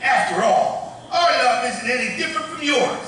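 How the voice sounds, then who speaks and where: A man's voice: very high voice, lively intonation, normal speed, sharp tone, exaggerated voice. A man voicing a brash, crude, self-centered cartoon robot with a boisterous, cocky delivery. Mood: cocky pleading. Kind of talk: live table read of a cartoon. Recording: echoey hall, distant mic, noisy.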